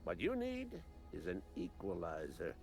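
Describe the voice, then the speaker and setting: An actor playing a gruff old male veteran soldier in a video game, folksy and commanding. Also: low voice, wildly swooping pitch, a little slow, darkish tone, faint music behind, full character voice.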